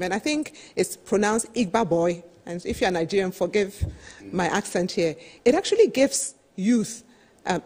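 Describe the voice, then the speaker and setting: A middle-aged woman with a slightly husky voice, giving an emphatic, explanatory business presentation as a panel speaker, often saying "you know". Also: mid-range voice, a little mellow. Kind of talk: business presentation at a televised roundtable. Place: television studio, seated at a desk.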